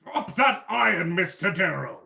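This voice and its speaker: A man's voice: sits high, sing-song, moderate pace, vocal fry, bright, forceful tone, exaggerated voice. A man playing a stern, commanding crime-fighting hero in a dramatic old-time radio drama reading. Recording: dull lo-fi sound.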